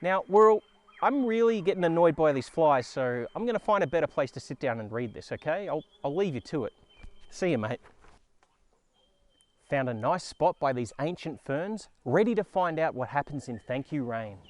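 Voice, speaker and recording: An Australian man hosts a children's read-aloud. His voice sits a little high and swings widely in pitch. He comes across as playful and expressive, talking as if to young listeners. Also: moderate pace. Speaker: children's storyteller and show host. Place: outdoors in a forest.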